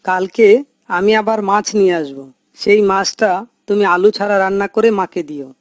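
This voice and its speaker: A man's voice: high-pitched, normal speed, dry recording, close to an everyday voice. A man voicing a dutiful, concerned grown son and husband in a cartoon, earnest and chiding. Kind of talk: cartoon moral story voice acting.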